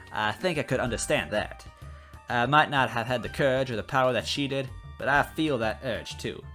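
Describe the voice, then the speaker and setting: A man giving an expressive, conversational first-person narration as he reads a story aloud. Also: lowish voice. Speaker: story reader.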